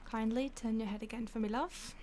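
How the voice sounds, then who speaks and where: A woman's voice: fairly high pitch, fairly even intonation, brisk, heavy background noise, lightly acted. A woman playing a mocking vampire stalker. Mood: menacing. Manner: teasing, condescending, soft-spoken with whispers. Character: mocking female vampire stalker, predatory and amused. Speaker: audio roleplay voice actor. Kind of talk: audio roleplay acting.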